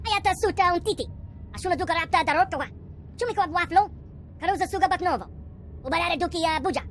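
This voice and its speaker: A woman's voice: very high-pitched, rapid-fire, slight background noise. A woman voicing a small alien creature that chatters away in gibberish for a video game. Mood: chattering. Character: small, jabbering, high-voiced alien creature.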